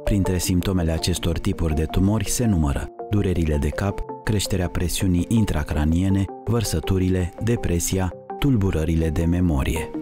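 A man's voice: low-pitched, music in the background. A man reading a formal scripted voice-over for a health program. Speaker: narrator.

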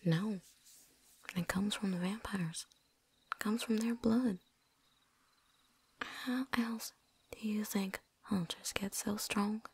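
A woman playing a tough, world-weary vampire hunter in a whispered ASMR roleplay, breathy, with a folksy put-on drawl. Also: medium pitch, steady tone, slow, pauses often. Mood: wry, explaining. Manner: folksy drawl with dropped endings, put-on accent.

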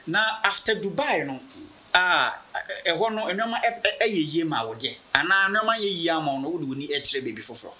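A Ghanaian man in his thirties hosting a casual interview, reacting with loud exclamations and laughter. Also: a little high, animated, fairly quick. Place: indoor room, seated on sofa.

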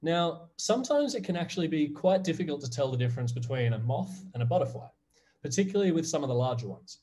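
A man's voice: on the high side, expressive, fast, dark tone, slight background noise. A man in his thirties from Australia with an Australian accent, giving a friendly, upbeat online class presentation to kids over a video call. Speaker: guest presenter.

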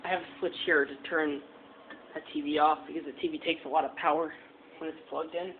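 A man with a fairly high, gravelly voice walks through his basement, pointing things out in a plain, matter-of-fact way. The sound is thin and phone-like, recorded on a handheld phone, with steady noise behind him. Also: a little fast. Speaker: home video maker giving a tour. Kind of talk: casual walk-through tour. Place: home basement, indoors. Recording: tinny phone-like audio with clear background noise.

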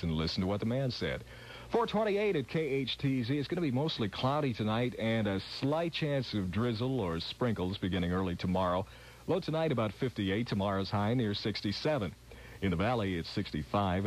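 A man's voice: mid-range voice, low-quality recording. An American man working as a radio DJ, announcing music and weather on air. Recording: faintly hissy old radio tape.

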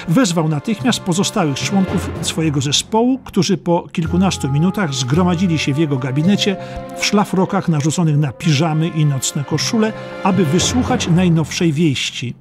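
A man's voice: a little high, expressive, normal speed. A man giving scripted narration of a historical documentary audiobook. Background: music.

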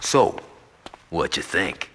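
An actor playing a brash, foul-mouthed American gang lieutenant in a video game, cocky and sarcastic. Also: male voice, slow pace, lightly acted. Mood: irritated. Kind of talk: video game cutscene acting. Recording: echoey reverb, noisy game audio.

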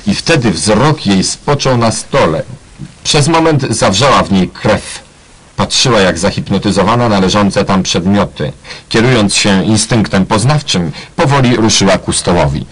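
A man's voice: on the low side, animated, moderate pace, husky, rough recording. A man narrating a radio play parody in a mock-solemn, ironic way. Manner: mock-solemn, tongue-in-cheek.

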